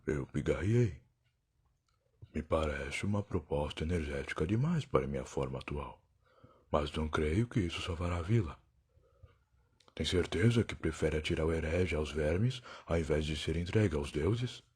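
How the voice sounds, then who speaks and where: Proud, weary time traveler played by a man, Brazilian accent, low husky voice, solemn dramatic narration for an audio drama. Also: lively intonation, moderate pace, darkish tone, heavily acted. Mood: grave, challenging. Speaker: narrator and lead character. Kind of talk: dramatic first-person scripted narration.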